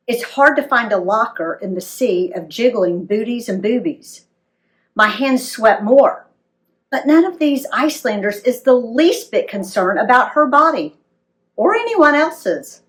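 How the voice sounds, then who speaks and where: A woman reading a story aloud for young listeners in a dramatic, emphatic, clearly phrased way. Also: on the high side. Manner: dramatic, animated delivery with emphatic dialogue.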